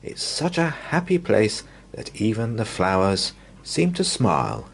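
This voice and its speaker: Low-voiced man reading a bedtime story, very expressive. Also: gravelly, breathy edge. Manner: measured, drawn-out, expressive phrasing. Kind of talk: bedtime story read-aloud for young children.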